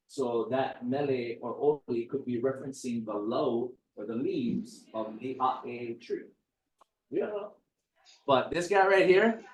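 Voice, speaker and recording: A man gives a relaxed, chatty talk full of jokes and asides. His voice sits at an ordinary middle pitch. The recording is echoey, with the speaker standing some distance from the mic. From Hawaii. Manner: chatty, joking, digressive. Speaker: guest speaker. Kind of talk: casual lecture talk.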